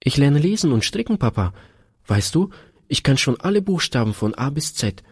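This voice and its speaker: A man voicing an eager, sweet little girl who pleads with her father in a bright sing-song manner. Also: medium pitch, big pitch swings, normal speed, breathy edge, voice pushed up. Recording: long hall reverb.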